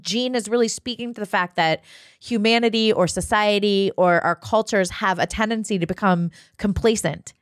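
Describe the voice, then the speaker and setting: A woman's voice: mid-range voice, normal speed, no echo, studio quality. A woman guest-hosting a podcast in casual, conversational talk with little check-in questions.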